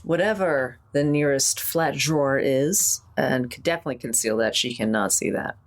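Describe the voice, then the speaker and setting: A woman with a low voice plays a tabletop roleplaying game. She describes her character's choices casually and informally, with a wry edge to her delivery. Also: normal speed, slight background noise.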